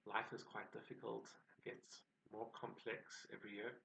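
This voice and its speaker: Man, South African accent, channel host, measured and reflective, a bit far from the mic, faint background ambience. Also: fairly low pitch, monotone, gravelly, pushed and bright, slight background noise. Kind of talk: personal introduction to camera.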